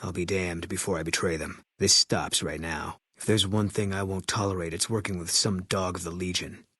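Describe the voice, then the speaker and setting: An actor voicing a grim, bitter former army sniper in a video game, guarded and grieving, with terse, flat, brooding delivery. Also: male voice, sits low, normal speed, husky, no echo, heavily acted. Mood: cold, angry.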